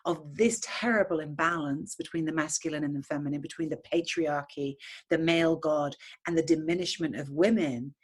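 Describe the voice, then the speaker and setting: A woman speaks as a podcast guest in a low, husky voice. She talks with fervent conviction and often repeats a word while she reaches for the next thought. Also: fairly even intonation, normal speed. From England. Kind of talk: impassioned conversational podcast talk.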